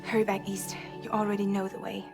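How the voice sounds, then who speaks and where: An actress playing a weary, wounded but determined woman in a cinematic video game, speaking softly, at times nearly whispering. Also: mid-range voice, completely flat delivery, fast, vocal fry, close to an everyday voice. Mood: gently determined. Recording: prominent music behind the voice. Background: music.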